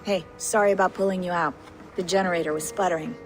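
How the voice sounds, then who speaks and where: A woman playing a practical, friendly technician in a video game, with natural, brisk delivery. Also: lowish voice, fairly even intonation, soft background music, lightly acted, poor audio.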